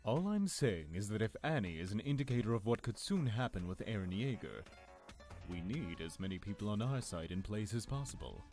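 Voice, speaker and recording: A man acts out a low-voiced leader of an audio drama, formal and used to being obeyed. His delivery is measured and calculating, yet it rises and falls dramatically. Faint music plays underneath. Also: very animated, a little mellow. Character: commanding male lord, calm, calculating leader.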